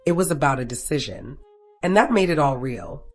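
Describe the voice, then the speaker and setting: Narrator reading out a scripted love story, medium pitched voice. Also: animated, moderate pace.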